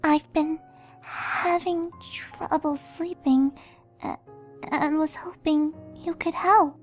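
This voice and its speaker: A woman voicing a timid, apologetic young pony mare in a cartoon fan fiction reading, soft, breathy and hesitant. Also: high-pitched, fairly even intonation, slow, faint music behind.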